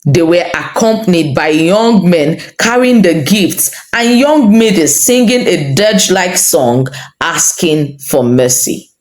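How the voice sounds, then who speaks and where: A woman with a Nigerian accent narrating a folktale in a measured, dramatic, expressive storytelling style. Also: on the low side. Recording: roomy echo under light music. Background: music.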